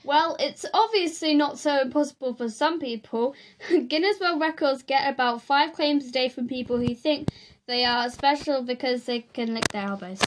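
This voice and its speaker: A young woman from the UK co-hosting a casual chat, skeptical and teasing, quick to argue a point. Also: high-pitched. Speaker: co-host. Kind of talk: casual chat reading facts.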